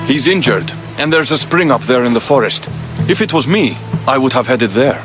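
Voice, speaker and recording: A man's voice: medium pitch, lively intonation, normal speed, close to an everyday voice. A man as stern Spartan army commander. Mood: grave, commanding. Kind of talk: video game cutscene acting. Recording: music behind the dialogue.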